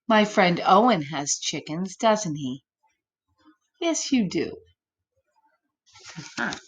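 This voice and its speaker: A woman's voice: on the low side, expressive. A woman reading a picture book aloud to children expressively, speaking directly to her young listeners. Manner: pauses to address young listeners. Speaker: storytime reader.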